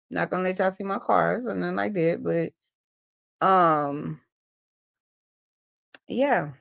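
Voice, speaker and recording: A woman's voice: on the low side, vocal fry. A woman in her forties hosting a casual livestream, telling rambling, profane stories to her viewers. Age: 40s. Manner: loose, profane, rambling storytelling. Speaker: livestream host. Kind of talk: casual live chat.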